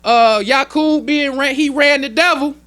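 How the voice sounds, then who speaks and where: American man, very high pitch, forceful sharp tone, emphatic colloquial sermon, repeated key points. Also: a little slow. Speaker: minister teaching at a temple meeting. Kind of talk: religious sermon, explaining teachings.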